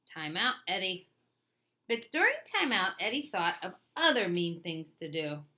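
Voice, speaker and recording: Bright-voiced woman reading a picture book. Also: on the low side, moderate pace. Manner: expressive, lively storytelling. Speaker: children's storytime reader.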